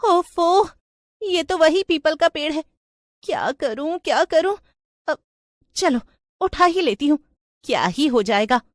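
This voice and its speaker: A woman's voice: high-pitched, lively intonation, slow pace, long pauses, no room sound, studio quality, pitched above the performer's own voice. A woman voicing a naive young newlywed bride in a storybook tale, girlish and exclaiming. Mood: flustered.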